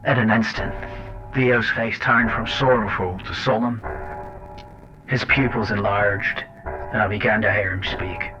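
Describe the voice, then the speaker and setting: A man's voice: on the low side, fairly even intonation, unhurried, pauses often, natural voice. A man acting a sardonic, hot-tempered agent who tells his story in colloquial first-person drama narration. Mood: brooding, wry. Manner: colloquial, wry, swearing. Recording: dull lo-fi cassette with music.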